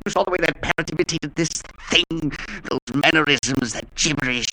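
Tormented haunted gentleman, highish gravelly voice, brooding old radio acting, scratchy sound. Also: normal speed. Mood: tormented disgust. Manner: intense, brooding, theatrical. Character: proud, tormented gentleman haunted by an unseen presence. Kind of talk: 1940s radio drama acting. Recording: scratchy, faintly noisy 1940s radio recording.

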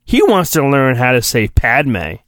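Theatrical man, animated pitch, slow delivery. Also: no echo, clean studio sound. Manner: theatrical, hammy, irreverent. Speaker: podcast host. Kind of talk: mock-dramatic storybook reading with joking asides.

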